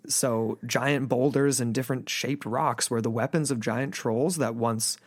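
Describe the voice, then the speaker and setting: A man's voice: medium pitch, fairly even intonation, measured pace, no room sound, studio quality. A man co-hosting a podcast, chatting casually and rambling with lots of fillers and restarts. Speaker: podcast co-host. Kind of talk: casual chat.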